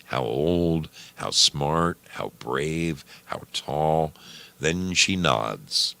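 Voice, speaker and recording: A man narrating a story expressively, with measured, dramatic phrasing. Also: very deep voice, expressive, gravelly, sharp tone.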